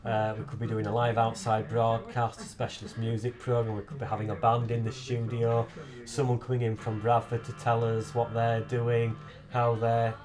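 A man in his thirties describing his community radio work in an interview. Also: mid-range voice. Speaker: community radio staff member. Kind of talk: interview testimony. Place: small room on sofa, indoors.

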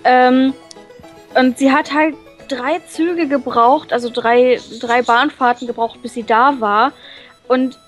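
Halting high-voiced woman over music. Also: fairly even intonation. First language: German. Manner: halting, searching for words. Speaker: let's play co-host. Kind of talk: reading visual novel text aloud, casual translating and commentary. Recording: music playing behind the voice.

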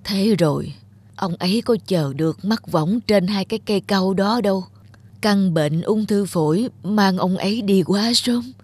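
A woman playing an elderly widowed mother in a cartoon, gentle, yielding and reflective, speaking hesitantly. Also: mid-range voice, measured pace, breathy edge. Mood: wistful, reflective. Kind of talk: cartoon voice acting. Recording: music under the voice.

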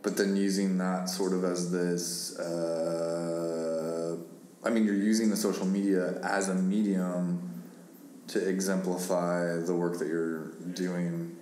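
Deep-voiced man rambling in an echoey room. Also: flat delivery, takes it slowly, warm tone, a little hiss or hum. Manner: rambling, hedging, many "like" fillers. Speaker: interviewer host. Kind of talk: casual interview conversation.

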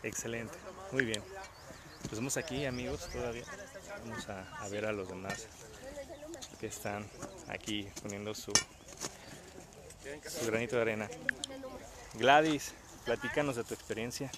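A man hosts quick interviews outdoors in a park, upbeat and encouraging. His voice sits on the low side. A crowd talks in the background. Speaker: host and interviewer. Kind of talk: casual on-the-spot interviews. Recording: crowd chatter behind.

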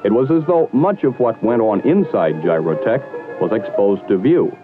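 A man reading scripted narration for a 1960s training film in a formal, measured, slightly wry manner. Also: lowish voice, expressive, over music. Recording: muffled old 1960s film soundtrack.

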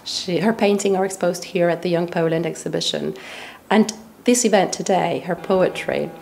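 A woman's voice: lowish voice, normal speed, creaky, darkish tone, a little hiss or hum. A woman in her thirties speaking in an interview as a cultural institute representative, indoors. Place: indoor gallery room.

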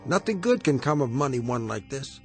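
A man's voice: medium pitch, sing-song, fairly quick, a little mellow, natural voice. An actor playing a prim, pompous married man in a radio drama, stammering when flustered. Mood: indignant. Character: prim, self-righteous married man, easily rattled. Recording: radio play with music underneath.

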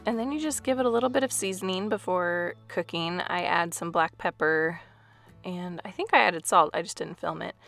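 A woman's voice: fairly low pitch, normal speed, gravelly, fairly bright tone, faint music behind. A woman in her thirties chatting casually through a home cooking vlog voice-over, with light laughs. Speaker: family vlogger.

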